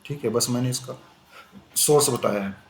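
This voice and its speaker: A male teacher giving a conversational exam-prep lecture, switching between Hindi and English and repeating "okay" as a filler. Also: medium pitch. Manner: conversational, repeats "ठीक है" often, switches into slow English phrases. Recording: echoey room with faint ambient noise.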